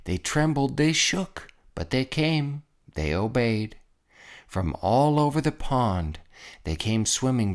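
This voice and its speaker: A storyteller reading a children's picture book aloud in an expressive scripted narration. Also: medium pitch.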